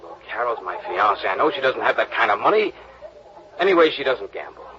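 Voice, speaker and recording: A man's voice: mid-range voice, sing-song, brisk, a crowd in the background, close to an everyday voice. Man acting as a nervous stammering fiancé, voice jumping all over, old muffled radio sound. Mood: anxious. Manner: flustered, stammering, insistent. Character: worried young man, defensive fiancé. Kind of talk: 1950s radio drama acting.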